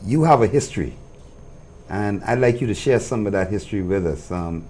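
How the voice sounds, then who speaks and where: A man's voice: lowish voice, expressive, fairly quick, a little mellow, a little hiss or hum. A man hosting a talk show, speaking earnestly and murmuring agreement as his guest talks. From Bahamas. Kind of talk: casual interview conversation.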